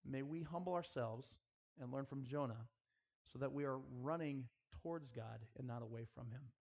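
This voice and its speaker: A man's voice: mid-range voice, moderate pace, creaky, a little mellow, breathy edge, highs cut off. A man preaching earnestly in a school chapel style, exhorting his listeners and addressing them directly. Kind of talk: sermon with rhetorical questions and prayer.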